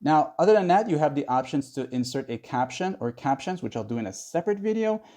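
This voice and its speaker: A man's voice: fairly high pitch, animated, fast, dark tone. A man narrating a casual how-to tutorial in a conversational, instructional way. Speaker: tutorial host.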